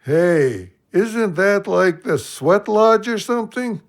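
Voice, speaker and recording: Dim canadian drinking buddy in a radio play, higher voice w lots of fry, swingy pitch, whiny. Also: male voice, animated, heavily acted. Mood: plaintive, puzzled. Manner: slow-witted, plaintive, ungrammatical. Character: Big Joe, dim, hungover, good-natured big drinking buddy. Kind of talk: audio play acting.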